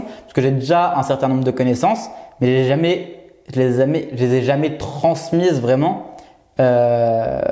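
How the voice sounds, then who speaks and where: A man's voice: medium pitch, dark tone. A young man in his twenties vlogging to camera in a small room, unscripted, with many fillers, stutters and restarts. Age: 20s. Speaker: YouTuber. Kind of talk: unscripted vlog talking to camera. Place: small bare room indoors, seated. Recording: roomy echo.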